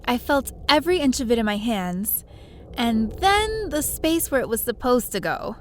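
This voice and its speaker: A woman's voice: on the high side, sing-song, normal speed, a little hiss or hum. A woman playing a curious, sheepish young adventurer who narrates her own story in an audio drama. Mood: absorbed. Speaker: lead character and narrator. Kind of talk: first-person scripted story narration with dialogue.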